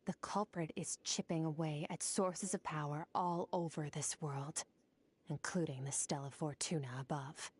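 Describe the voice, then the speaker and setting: Cold distant game warrior lady, deep low voice, short and bossy with everyone. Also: steady tone, moderate pace, husky. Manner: curt, cold and commanding. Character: cold aloof female warrior, mysterious and distant. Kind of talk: video game cutscene voice acting.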